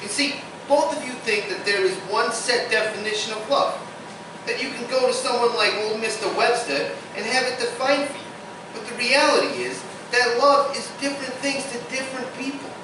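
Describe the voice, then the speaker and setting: A noisy, deeply reverberant live recording. An actor plays an easygoing bartender with a philosophical turn, speaking in stage radio drama style at some distance from the microphone. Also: moderate pace, close to an everyday voice. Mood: philosophical, reassuring. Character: easygoing, philosophical bartender dispensing love advice. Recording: very echoey, distant live recording, clear noise.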